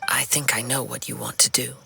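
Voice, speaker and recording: An actor playing a gentle, composed young swordsman in a video game, calm and thoughtful, with music behind him. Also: medium pitch, a little fast, vocal fry, a little hiss or hum, full character voice. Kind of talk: scripted game dialogue.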